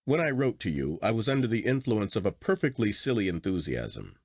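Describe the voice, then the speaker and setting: A man voicing an earnest, formal gentleman in storybook dialogue, emphatic and exclamatory. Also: lowish voice, big pitch swings, brisk, dry recording, clean studio sound. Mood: exasperated, insistent. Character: earnest gentleman narrator, contrite then exasperated sceptic.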